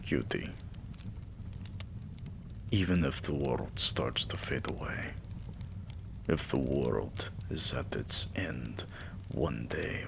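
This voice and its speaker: A man playing an adoring, weary vampire king in a romantic ASMR roleplay, murmuring tenderly and intimately in a breathy, gravelly half-whisper. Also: very deep voice, noisy background, full character voice.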